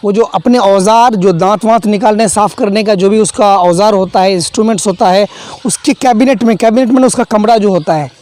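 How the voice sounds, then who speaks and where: A man's voice: high voice, fairly quick, a little mellow. A young man teaching a textbook play, explaining each phrase, repeating himself and adding fillers.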